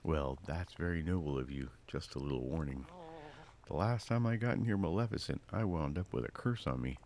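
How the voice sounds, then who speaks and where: Man acting out a cocky, deep-voiced roguish thief. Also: moderate pace, darkish tone. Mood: cocky, wary.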